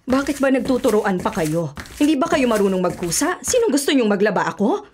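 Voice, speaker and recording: A woman's voice: on the high side, sing-song, fairly quick, darkish tone, a little hiss or hum. A woman acting a hardworking, self-sacrificing middle-aged mother in a radio drama, earnest and principled. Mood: exasperated, pleading. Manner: earnest, principled, pleading when pressed.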